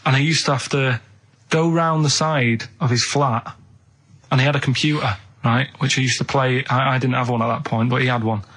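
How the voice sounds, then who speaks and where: A man's voice: medium pitch, fairly even intonation, a little fast, a bit bright. Earnest man telling rambling anecdotes. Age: 30s. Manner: earnest, plain-spoken. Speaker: radio show co-host. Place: radio studio.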